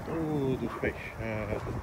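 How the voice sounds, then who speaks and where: A man's voice: medium pitch, slow pace. A middle-aged Brazilian man narrating a casual walking vlog outdoors on a handheld phone. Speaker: vlogger. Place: outdoors by a roadside building. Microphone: handheld phone at arm's length. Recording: very noisy outdoor wind and traffic.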